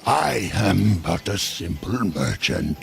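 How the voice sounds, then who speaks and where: An ancient, sly merchant from another world. His voice is low and creaky, and he takes his time over each line with unctuous, theatrical amusement. Music plays behind him. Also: very animated, drawn-out words, heavily acted. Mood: sly, ominous. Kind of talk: video game character acting.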